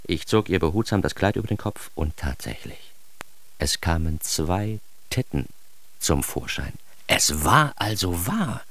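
A man's voice: sits low, lively intonation, measured pace, fairly bright tone, full character voice. An actor narrating a radio drama as a naive, bookish young man with a wry, self-mocking and precise manner. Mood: wry, amazed. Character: naive, bookish young man recounting his life with comic earnestness. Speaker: first-person narrator.

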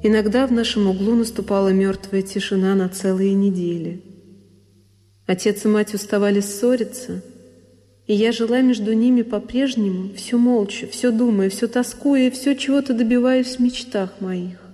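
Music plays softly behind an actress as a sorrowful young woman telling of her lonely childhood. Her voice sits in a middle register. She speaks intimately and deliberately, as in an expressive radio drama of classic literature. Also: mid-range voice, lightly acted. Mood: wistful, pained. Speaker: solo actress. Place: studio radio production.

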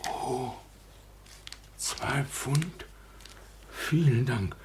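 An actor playing a coarse, lazy, pompous workhouse master and grumbling husband in a 1950s radio drama. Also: male voice, mid-range voice, very slow, noisy background. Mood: oily, servile.

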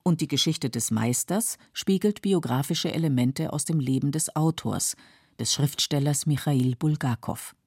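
A woman reading scripted documentary narration for a literary radio feature in a measured, factual, clearly articulated way. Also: low-pitched, dark tone, dry recording, clean studio sound.

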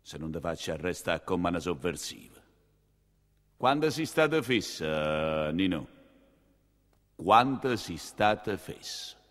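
A low, pompous, menacing churchman. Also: big pitch swings, fairly bright tone, in a large hall, heavily acted. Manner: slow, solemn, scolding, drawn-out delivery. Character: pompous, vindictive high-ranking Roman churchman, cold and menacing. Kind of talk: theatrical monologue.

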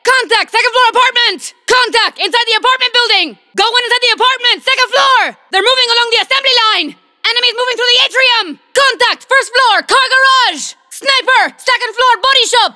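A woman's voice: very high voice, fairly quick, hardly any pauses, sharp tone, full character voice. A woman voicing a tough female soldier in a video game, barking clipped, urgent military callouts.

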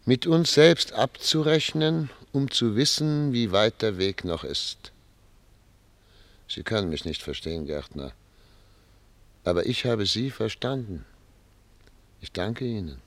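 A grave, troubled statesman in a radio play. The voice is low and creaky, with a wide-swinging range. He speaks formally and broodingly, as someone used to authority, in a very dry recording space. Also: lively intonation, slow pace, long pauses, dry recording. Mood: solemn, resolved. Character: troubled, grave male statesman addressed as Excellency. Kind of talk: German radio drama acting.

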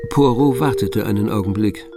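An actor as the composed storyteller of a detective tale, delivering scripted radio drama narration. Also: male voice, lowish voice, moderate pace, music in the background, close to an everyday voice.

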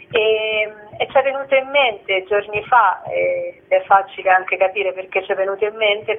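Woman interviewed over a tinny phone line. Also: medium pitch. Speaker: theatre project organiser, guest. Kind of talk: phone interview, spontaneous explaining. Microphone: telephone.

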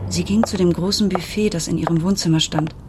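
An actress playing a reflective woman who narrates her family's story in a literary radio drama, in measured phrases. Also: fairly low pitch, steady tone, noisy background, lightly acted. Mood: reminiscing. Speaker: first-person narrator.

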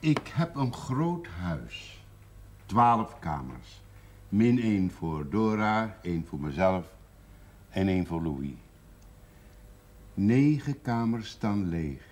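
An actor playing a lonely, blunt man in his seventies in a naturalistic television drama, with a husky voice. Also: low voice, a little mellow, slight background noise, exaggerated voice. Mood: lonely, pleading. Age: older.

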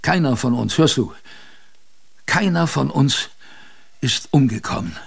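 An aged grandfather who survived a polar expedition, recounting it for a radio play. The voice is gravelly and creaky, and he takes his time. He is proud, tender and emotional, pausing to reflect. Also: medium pitch, animated, full character voice. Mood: moved, proud. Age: older. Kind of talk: radio drama storytelling to a grandchild.

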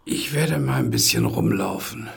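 An actor playing an older male security guard near retirement in a radio drama, husky and gravelly, stumbling over his word starts. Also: mid-range voice, huge pitch swings, normal speed, exaggerated voice. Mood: wistful, hesitant. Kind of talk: naturalistic radio drama acting.

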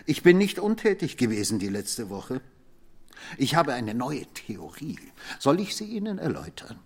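An actor playing an insinuating police commissioner in a radio play, interrogating with theatrical, needling storytelling and pointed pauses. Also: male voice, medium pitch, very animated, darkish tone, husky. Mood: needling, mocking. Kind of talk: German radio play acting.

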